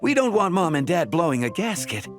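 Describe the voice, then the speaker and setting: An animated, easygoing big brother. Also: male voice, fairly high pitch, a little fast, music in the background. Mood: gently nagging. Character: friendly, easygoing older brother, young man. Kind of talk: English game dub cutscene acting.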